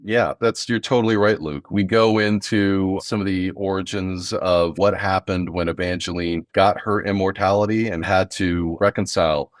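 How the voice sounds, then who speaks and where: A man's voice: sits low, fairly even intonation, normal speed, few pauses, dry recording, studio quality. A man hosting a podcast, talking casually as he introduces an episode. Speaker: podcast host and audio drama writer.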